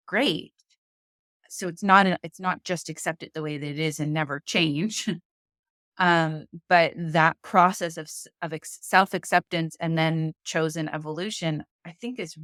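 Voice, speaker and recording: A woman's voice: deep voice, fairly bright tone, no echo, studio quality. A woman who co-hosts a book-discussion podcast and teaches speaking classes, talking earnestly and stressing her points. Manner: earnest and emphatic, repeats key points.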